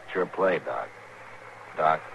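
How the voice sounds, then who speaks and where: Frontier marshal, vintage radio western: low, forceful, dry and plain-spoken, faint noise on the recording. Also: male voice, lively intonation, slow pace, gravelly, lo-fi sound, heavily acted. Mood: relaxed banter. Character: watchful, lonely, steady frontier US marshal. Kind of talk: 1950s radio western drama acting.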